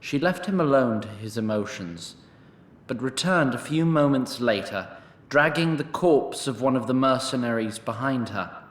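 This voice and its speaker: A man narrating a scripted fantasy story in a measured, formal storytelling style. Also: medium pitch, animated, fairly bright tone, reverberant. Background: music.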